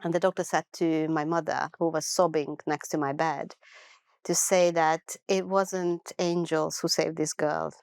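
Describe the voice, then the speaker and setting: A middle-aged woman from Hungary with a Hungarian accent, telling her life story as an interview guest in an earnest, unhurried way with thoughtful pauses. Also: low-pitched, level tone, creaky, darkish tone, no room sound.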